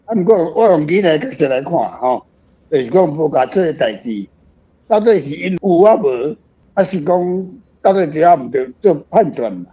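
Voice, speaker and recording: A man's voice: fairly high pitch, lively intonation, normal speed, mellow, breathy edge. An older man with a Taiwanese accent talking casually and reflectively as an author, often adding tag questions. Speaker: author, guest. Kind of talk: casual reflective talk on own writing. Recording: muffled, slightly dull sound.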